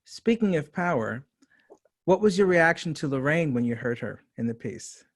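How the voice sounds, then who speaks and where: A man conducting an interview in a conversational style, setting out his points in measured, orderly phrases. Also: a little high, big pitch swings, breathy edge. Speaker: interviewer, writer and director.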